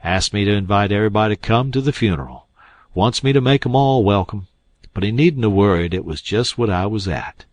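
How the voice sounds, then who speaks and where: A long-winded swindler who savors every word of his own speeches, as a male reader puts him on. He sounds mock-solemn and self-satisfied, in a low voice recorded close and dry, as in an audiobook. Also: animated, a little fast, darkish tone, no echo, close to an everyday voice. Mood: oily. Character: pompous smooth-talking male con man posing as English.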